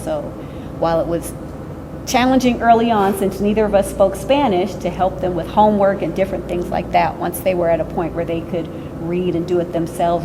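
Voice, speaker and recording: Measured middle-aged mother, noisy room. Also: fairly low pitch. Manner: measured, reflective. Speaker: parent. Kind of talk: interview answers.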